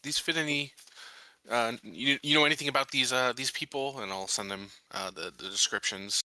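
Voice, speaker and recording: Very bright, hesitant man. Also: medium pitch, normal speed, dry recording, poor audio. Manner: hesitant, searching for words. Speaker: tabletop game player. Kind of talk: casual game-table chat.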